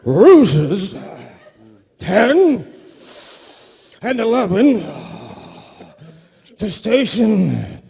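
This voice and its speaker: Halting, gravelly, muddled old character. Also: male voice, sits high, huge pitch swings, dark tone, heavily acted. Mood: befuddled, apologetic. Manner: halting, stammering, scatterbrained delivery. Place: live stage reading at a convention hall. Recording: echoey convention hall reverb.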